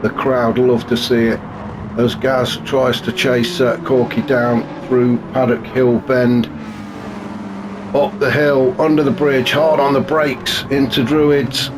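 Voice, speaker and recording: Chatty race commentator with a cold. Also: male voice, medium pitch, little pitch movement, takes it slowly. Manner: chatty and wry, many fillers. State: gravelly and snuffly with a cold. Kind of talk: live sim racing commentary. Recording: noisy with car engine sounds behind.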